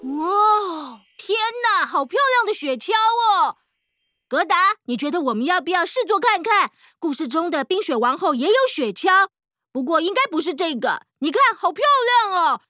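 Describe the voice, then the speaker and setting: A woman doing a bright, bouncy cartoon boy. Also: very high-pitched, normal speed, no echo, studio quality, heavily acted. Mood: excited. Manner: eager, excitable. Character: bold, reckless young boy. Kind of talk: cartoon dubbing.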